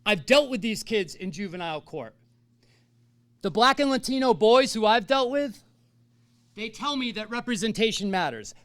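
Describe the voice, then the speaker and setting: High-voiced man, impassioned stump speech. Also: a bit bright, clean tone, no room sound. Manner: impassioned, determined. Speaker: candidate for elected office. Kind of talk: stump speech at a candidates night.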